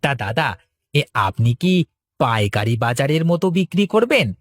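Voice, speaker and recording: A man's voice: mid-range voice, sing-song, measured pace. A man playing an eager, chatty village vegetable seller in a Bengali cartoon, talking in a colloquial, exclamatory way. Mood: businesslike. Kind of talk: cartoon story dialogue.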